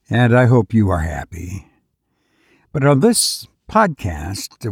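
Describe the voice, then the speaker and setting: A man hosting and reading for a horror story podcast, speaking in a measured, deliberate way. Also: mid-range voice, darkish tone, no room sound, studio quality. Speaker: podcast host and story reader. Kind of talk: slow intimate horror narration and host talk.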